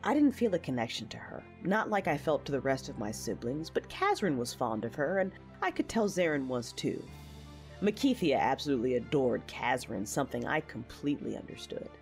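A woman's voice: very deep voice, big pitch swings, a little fast, darkish tone, soft background music, full character voice. A woman playing a reflective, war-weary young female knight, narrating her memories earnestly in an audio drama. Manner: earnest, reminiscing.

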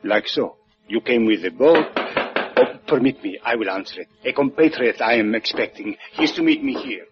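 An actor playing an earnest, courteous revolutionary with a Spanish accent, formal and solemn, in 1950s radio drama style. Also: male voice, medium pitch, lively intonation, over loud music, lightly acted. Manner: formal, deliberate, polite. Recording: muffled old 1950s radio recording.

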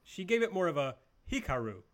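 A man's voice: on the high side, animated, normal speed, a bit bright. A man hosting a casual panel show, recapping and giving blunt opinions. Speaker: host of a wrestling review show.

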